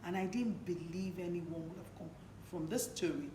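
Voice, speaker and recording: Hoarse woman hosting, echoey room. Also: fairly low pitch, moderate pace. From Nigeria. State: hoarse, partly lost voice. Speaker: talk show host. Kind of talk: conversational on-camera interviewing. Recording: echoey room, clearly noisy background.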